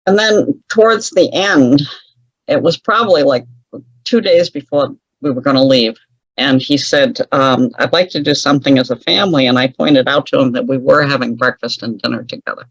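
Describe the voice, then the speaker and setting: A woman's voice: rumbling low, moderate pace, slightly rough, no room sound, dull audio. A woman telling a personal story as an interview guest, chatty and wry, laughing often at her own remarks. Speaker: guest author.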